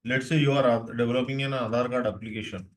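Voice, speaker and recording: A man's voice: medium pitch, fairly even intonation, fast talker. Young indian guy doing an online tech lesson, repeats stuff, keeps asking if everyone's following. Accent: Indian English. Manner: repetitive, checks in with students often. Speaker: DevOps instructor.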